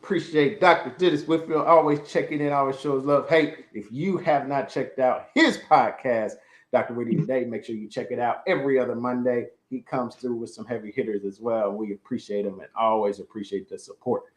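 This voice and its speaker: A man's voice: medium pitch, fairly even intonation, a little fast. A middle-aged man hosting an interview show over a video call, hyped and casual with slang. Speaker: host. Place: home room. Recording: roomy video call audio.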